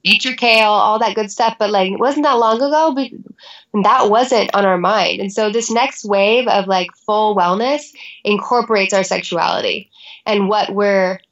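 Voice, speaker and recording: A woman's voice: mid-range voice, moderate pace, fairly bright tone, highs cut off. A woman guest on a podcast, talking in an earnest, rambling casual way. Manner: earnest, rambling with many likes. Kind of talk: casual chat.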